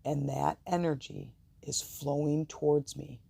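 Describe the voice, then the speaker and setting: A meditation host with a soft, gravelly voice, guiding listeners calmly and soothingly with deliberate pauses. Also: mid-range voice. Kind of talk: guided meditation and affirmations.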